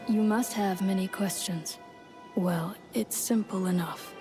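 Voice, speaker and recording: An actress playing a calm, reassuring priestess in a fantasy game, with measured, gentle phrasing. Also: female voice, mid-range voice, over music, natural voice. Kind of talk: video game character dialogue.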